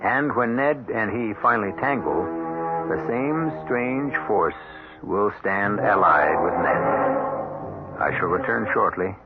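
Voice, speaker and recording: Brief: male radio host narrator, gravelly and ominous, deliberate delivery, music behind, muffled vintage broadcast. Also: on the low side. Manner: deliberate, ominous, literary storytelling. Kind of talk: dramatic scripted radio narration.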